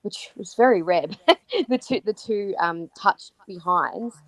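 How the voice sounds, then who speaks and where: An Australian woman co-hosting a podcast, her voice croaky from yelling, chatting enthusiastically with frequent ums and restarts. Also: mid-range voice, slow, dry recording, poor audio. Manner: enthusiastic, many fillers and restarts. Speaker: podcast co-host. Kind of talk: casual chat about football.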